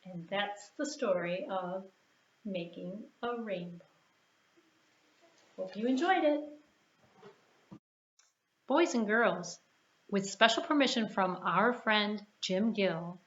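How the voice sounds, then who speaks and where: A woman's voice: medium pitch, animated, slow, pauses often. A woman hosting a children's storytime in an encouraging way, with simple, repeated sing-along phrases and questions for young listeners. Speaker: children's storytime host at a library. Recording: roomy echo, dull sound, background noise.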